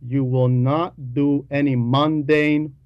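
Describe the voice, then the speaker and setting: Slow-paced man preaching a homily. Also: medium pitch, little pitch movement, dry recording, dull audio. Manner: deliberate, emphatic, repeats key phrases for weight. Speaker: homilist reading a translated homily. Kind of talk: sermon, teaching homily.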